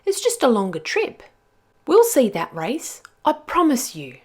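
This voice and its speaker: A woman voicing a plucky young female dingo pup in a children's storybook, brisk and encouraging. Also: high-pitched, huge pitch swings, husky, voice pushed up, full character voice. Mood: reassuring.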